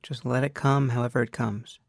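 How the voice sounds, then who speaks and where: A man leading a guided meditation as a teacher, speaking in an unhurried, measured way with long pauses. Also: mid-range voice, little pitch movement, gravelly, dry recording, studio quality.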